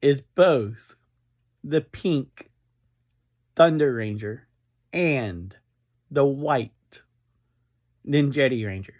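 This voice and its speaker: A man giving a casual fan review as a solo podcast reviewer, speaking in halting, broken phrases. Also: medium pitch, animated, a little mellow.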